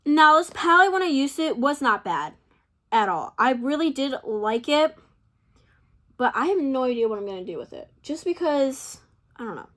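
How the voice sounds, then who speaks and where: Young woman vlogger, slightly high, chatty and rambling, voice worn from long talking. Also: big pitch swings, normal speed, a bit bright. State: losing her voice. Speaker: beauty vlogger. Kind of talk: casual chat to camera.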